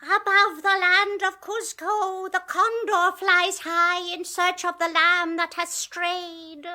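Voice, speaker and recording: A woman's voice: very high-pitched, flat delivery, slow, bright, forceful tone, voice pushed up, heavily acted. A woman in a radio drama voicing the possessed spirit of a grandiose male Inca emperor, chanting solemn prophecy. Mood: ominous. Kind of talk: 1980s radio drama acting.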